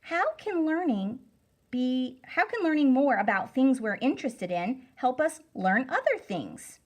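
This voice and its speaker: A woman reading a storybook aloud to children as a teacher, deliberate, emphatic and instructive. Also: high-pitched, sing-song.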